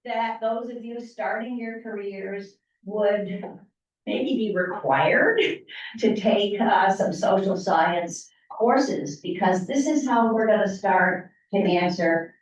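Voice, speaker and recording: Woman, audience anthropologist, slow, deliberate, listing, distant, muffled, very echoey hall. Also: medium pitch. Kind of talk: audience comment.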